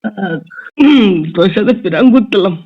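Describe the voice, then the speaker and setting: A man's voice: sits high, moderate pace. A young man chatting casually with a friend on a phone call. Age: young adult. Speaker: prank caller. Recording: dull, lo-fi phone call recording.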